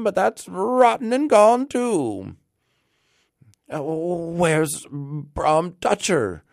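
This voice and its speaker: A man's voice: sits high, vocal fry, no echo, clean studio sound, voice pushed up, heavily acted. A man voicing a frail old village gossip in a storybook, with a thin, piping voice and a resigned, rambling manner. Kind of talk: storybook character reading.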